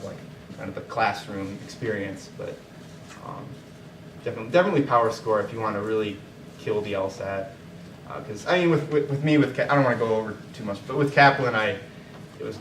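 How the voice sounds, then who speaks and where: A young man giving informal advice to students in an emphatic, colloquial way. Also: fairly low pitch. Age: young adult. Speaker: panelist advising pre-law students. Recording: echoey, distant, faint background noise.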